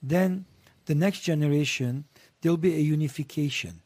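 Man, husky voice, blunt and profane, guest in a chat. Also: on the high side, normal speed, a little mellow. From Lebanon. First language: Armenian. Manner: blunt and provocative, swears freely. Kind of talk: casual panel chat.

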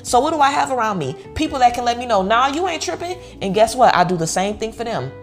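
A woman's voice: on the high side, very animated, fast, soft background music. An American woman giving an informal motivational pep talk, speaking bluntly and emphatically, repeating phrases to drive them home. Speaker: online community host giving self-worth advice.

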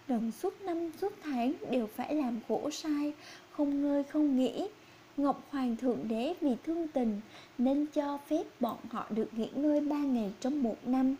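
A woman's voice: high voice, unhurried, mellow, slight background noise. A woman narrating scripted fairy tales for young children as a storyteller.

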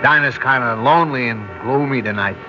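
A man's voice: medium pitch, fairly quick, music in the background, close to an everyday voice. An actor playing a good-natured, lovelorn bus station man in a 1960s radio drama, with casual, slangy American speech. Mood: pleading, lovelorn. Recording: dull, noisy vintage radio recording.

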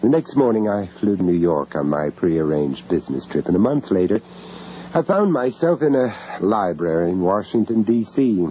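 A dull, noisy old radio drama recording of an anxious British gentleman art dealer. His voice is on the low side, and he tells his horror story gravely and hesitantly, heavy with dread. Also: very animated. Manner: grave, hesitant, dramatic delivery with halting repeats.

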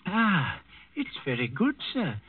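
Casting: an obliging castle waiter, raspy, deferential, slyly polite, thin tinny radio-drama sound. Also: male voice, high-pitched, wildly swooping pitch, slow, full character voice. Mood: innocent, sly. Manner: deferential, ingratiating. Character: obliging, sly male castle servant. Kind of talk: 1940s radio acting. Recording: tinny old 1940s radio recording.

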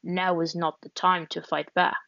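Calm old man, wise and unhurried, read by a woman; slow, low delivery in a dry lo-fi reading. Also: creaky, dry recording. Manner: slow, gentle and reassuring. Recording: dry, dull lo-fi audio.

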